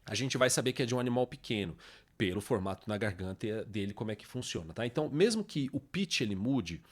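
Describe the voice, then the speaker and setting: A man's voice: fairly low pitch, animated, fast talker. A man with a Brazilian accent explains step by step as a tutorial presenter, informally, often adding little checking tags after his statements. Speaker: tutorial presenter, music production teacher.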